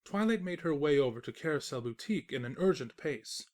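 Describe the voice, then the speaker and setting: Casting: female narrator for a comic story reading, deep register, animated intonation. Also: fairly quick.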